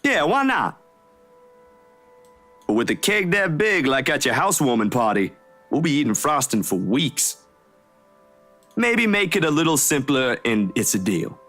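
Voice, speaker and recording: A man's voice: a little high, big pitch swings, a little slow, pauses often, fairly bright tone. An actor playing an easygoing, teasing male friend in a visual novel, with a breezy delivery. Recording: music behind the voice.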